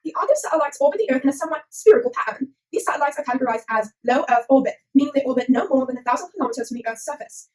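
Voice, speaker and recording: A woman's voice: high-pitched, animated, rapid-fire, few pauses, a little mellow, low-quality recording. A woman voicing a cheeky puppet presenter, theatrical and slangy, in a scripted comic science explainer. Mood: chirpy explaining. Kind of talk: scripted comic educational narration.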